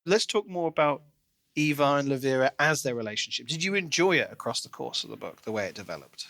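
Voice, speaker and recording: A man's voice: medium pitch, expressive, fast talker, creaky, pushed and bright, dry recording. A man co-hosting a podcast, talking casually and analytically through a book as he thinks aloud. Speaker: podcast co-host.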